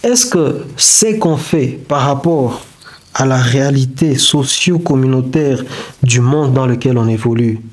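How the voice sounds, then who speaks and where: A man whose first language is not French gives a reflective motivational talk, halting and searching for words with many restarts. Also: medium pitch. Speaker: self-development video creator. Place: indoors beside a whiteboard diagram.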